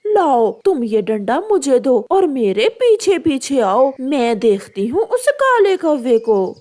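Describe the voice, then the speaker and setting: A woman's voice: sits high, sing-song, takes it slowly, warm tone, heavily acted. A woman voicing a bossy, food-loving female kite bird in a children's cartoon, speaking emphatically and with command. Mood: outraged. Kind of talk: animated children's moral story voice acting.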